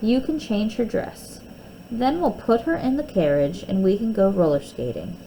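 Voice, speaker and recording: Bossy, quarrelsome storybook girl played by a woman reader, emphatic, swooping intonation, slight creak. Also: mid-range voice, big pitch swings, normal speed, mellow, slight background noise, rough recording. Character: bossy, quarrelsome young girl who owns a doll. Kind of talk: children's storybook character voice.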